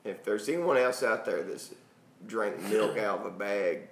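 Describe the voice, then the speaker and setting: A man's voice: lowish voice, measured pace. A man in a band chatting casually on a podcast, dropping dry asides. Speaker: band member. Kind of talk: casual chat. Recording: echoey room with faint ambience.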